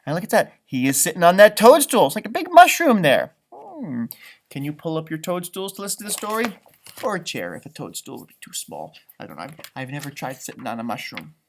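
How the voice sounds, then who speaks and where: Guy doing storytime for kids, super lively pitch, chatty n full of wonder about the pictures. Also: a little fast. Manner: wondering, conversational, talking to young listeners. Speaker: storytime reader for children. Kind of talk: picture-book read-aloud with chatty asides.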